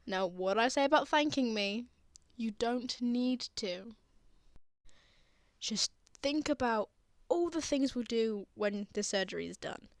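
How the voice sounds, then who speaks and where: Woman as a soothing dad, higher-pitched voice. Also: expressive, unhurried, long pauses, dry recording, clean studio sound. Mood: warm, earnest. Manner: soothing, tender, earnest. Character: gentle, reassuring, teasing father comforting their frightened child. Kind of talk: ASMR comfort roleplay.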